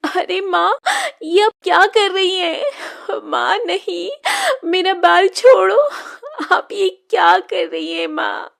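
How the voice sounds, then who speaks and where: A woman's voice: extremely high pitch, big pitch swings, slow pace, vocal fry, fairly bright tone, exaggerated voice. A woman voicing a meek, sorrowful stepdaughter in her early twenties in a Hindi moral-story cartoon, submissive and pleading. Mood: pained, pleading. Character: meek, sorrowful 22-year-old mistreated stepdaughter.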